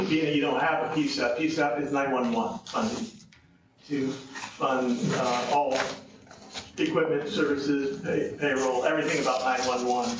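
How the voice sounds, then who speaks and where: A tired man speaks from a podium in a county meeting room, explaining his point at length with plenty of uhs. The room is very echoey and he sits back from the microphone. A faint hiss runs underneath. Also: medium pitch. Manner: rambling, with many filler pauses. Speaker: public commenter on tax policy. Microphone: podium mic, heard from a distance. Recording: echoey room, far from the mic.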